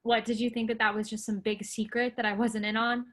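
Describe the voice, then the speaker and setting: A woman's voice: fairly high pitch, little pitch movement, fairly quick, fairly bright tone. An actress playing a headstrong, wry young woman in a staged play reading. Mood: wry, resolute.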